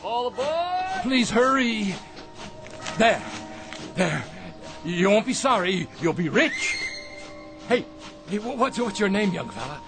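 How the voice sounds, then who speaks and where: Older male downtrodden custodian: stammering, deferential, high voice that swings widely, radio drama. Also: sing-song, takes it slowly, pauses often, over music, full character voice. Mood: desperate, pleading. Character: meek, desperate, downtrodden old man, a custodian.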